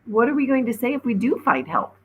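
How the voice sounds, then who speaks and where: A woman voicing a sensible, level-headed schoolgirl in a storybook, steady and reasoning. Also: fairly high pitch, brisk, slight background noise, pitched above the performer's own voice. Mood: sceptical.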